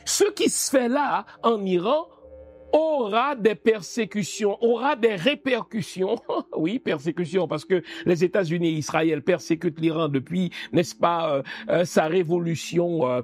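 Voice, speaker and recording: A man's voice: sits high, unhurried. A man from Haiti giving geopolitical commentary in a didactic, emphatic, rhetorical manner. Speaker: political commentator.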